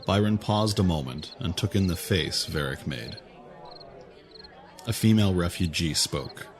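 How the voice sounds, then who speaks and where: A man narrating an audio drama in a measured, even storytelling manner. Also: deep voice, a crowd in the background. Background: music score.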